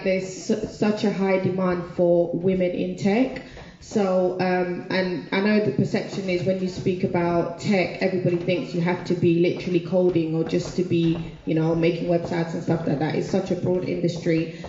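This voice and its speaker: A woman speaks informally from the floor. Her voice is mellow and quite flat in pitch, heard in a roomy, echoing recording with faint noise. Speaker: audience member volunteering as mentor. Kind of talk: informal off-the-cuff remarks. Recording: muffled, echoey, noisy room recording.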